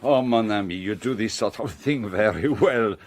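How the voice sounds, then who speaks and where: An actor playing a shrewd, courteous detective with a French accent in a radio drama, speaking in a measured, theatrical way. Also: male voice, fairly low pitch, close to an everyday voice. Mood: wry, amused. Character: shrewd, composed Belgian male detective, wryly courteous.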